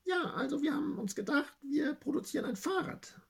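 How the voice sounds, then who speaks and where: A woman playing a founder in an amateur comedy sketch, talking in a high, eager tone. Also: lively intonation, brisk, creaky.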